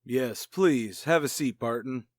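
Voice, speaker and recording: A man acting a brisk, authoritative space fleet commander in a retro radio drama. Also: medium pitch. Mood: businesslike. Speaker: announcer and actor in an audio drama.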